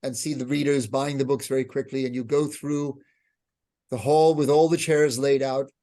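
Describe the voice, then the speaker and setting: A man with an American accent, hosting an awards ceremony with a measured, earnest, grave speech. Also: medium pitch, little pitch movement, warm tone. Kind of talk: solemn formal speech. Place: online video event.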